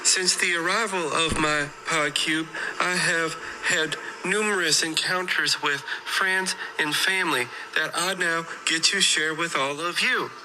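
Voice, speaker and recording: A man's voice: a little high, steady tone, unhurried, few pauses, pushed and bright, a little hiss or hum, rough recording. A man voicing a sweet, folksy, earnest customer giving an oddly formal comic testimonial. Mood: blissful, earnest.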